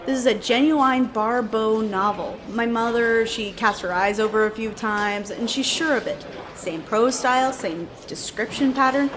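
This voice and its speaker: Woman playing flirty cop lab tech, kinda high voice, breezy and teasing, noisy background. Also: measured pace, hardly any pauses, lightly acted. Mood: breezy, informative. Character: flirty, teasing female police forensics colleague. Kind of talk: audio drama dialogue. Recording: noticeable background noise and ambience.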